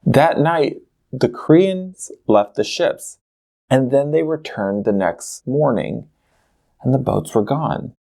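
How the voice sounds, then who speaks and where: A man narrating a documentary history episode at an unhurried pace, his pitch rising and falling expressively. His voice is mellow and dark, and he talks to the viewer directly, in a conversational way. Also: expressive, vocal fry.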